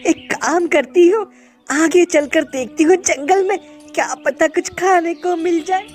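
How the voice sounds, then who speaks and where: A high-pitched magical bird. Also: female voice, unhurried, darkish tone. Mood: hungry. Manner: chatty talking to herself. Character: hungry brave magical female bird. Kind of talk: cartoon voice acting. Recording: faint music behind.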